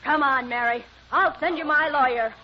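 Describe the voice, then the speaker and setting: An American actress playing an indignant, scolding busybody neighbor in an old-time radio comedy. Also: female voice, high-pitched, moderate pace, close to an everyday voice. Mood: outraged. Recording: muffled, noisy old radio recording.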